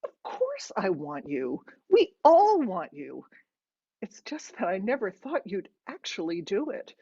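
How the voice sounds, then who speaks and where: Woman acting strained, resentful grown daughter, animated pitch. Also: unhurried, slightly rough, muffled recording, full character voice. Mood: subdued, reluctant. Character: stunned, resentful middle-aged daughter forcing politeness.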